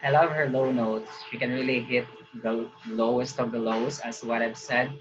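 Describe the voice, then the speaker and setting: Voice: medium pitch, fairly even intonation, normal speed, dull audio, loud music behind the voice. A host casually reacting to a video and talking to viewers in a chatty, upbeat way.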